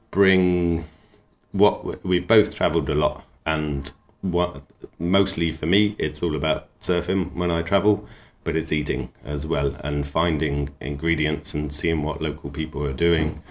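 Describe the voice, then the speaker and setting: A man, talking in an easygoing, rambling and enthusiastic way as an interview guest about his craft and cooking. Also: extremely low pitch. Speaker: knifemaker and cook. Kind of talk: relaxed interview talk.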